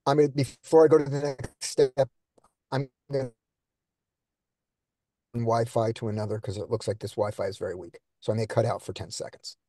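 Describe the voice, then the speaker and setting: A man's voice: medium pitch, steady tone, normal speed, long pauses, mellow, no echo. A man coaching a group through poker hands on a video call, thinking aloud and quizzing his students. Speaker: poker coach leading a study session.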